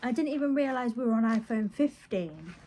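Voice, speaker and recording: A woman's voice: fairly high pitch, animated, fairly quick, warm tone, a little hiss or hum. A British woman vlogging casually on her phone, rambling playfully and half talking to herself as she goes. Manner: chatty, playful, talks and mutters to self. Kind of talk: casual rambling chat to camera while wrapping gifts.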